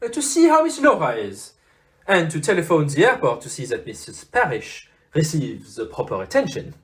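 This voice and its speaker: An actor playing a courteous, shrewd foreign detective in an audio play, speaking formally with French exclamations. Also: male voice, on the high side, wildly swooping pitch, normal speed. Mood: confident, shrewd.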